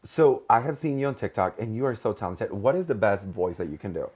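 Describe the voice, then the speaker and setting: A man's voice: fairly low pitch, animated, quick pace, a little mellow, husky. A man hosting a podcast interview, asking curious questions and laughing easily. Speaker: podcast host. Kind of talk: casual interview.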